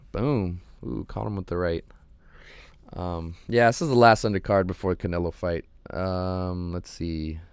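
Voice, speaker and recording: A man hosting his own show, chatting casually and rambling with lots of ums and slang, his voice slightly hoarse. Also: sits low, no room sound. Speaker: podcast host. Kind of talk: casual solo livestream chat.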